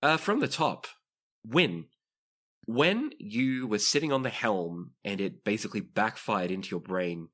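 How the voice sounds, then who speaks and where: A man's voice: on the low side, expressive, a little slow, a bit bright. A man narrating a tabletop roleplaying game as game master, describing scenes and explaining rules to players.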